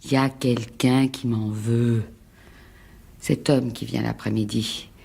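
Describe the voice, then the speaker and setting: Radio drama casting: a fragile, persecuted wife, husky with a creaky edge, halting and pleading. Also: female voice, rumbling low, steady tone, mellow, heavily acted. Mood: anxious, insistent. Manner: halting, pleading, repeats words. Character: frightened, fragile wife, jealous and insistent, feeling persecuted. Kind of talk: French radio drama acting.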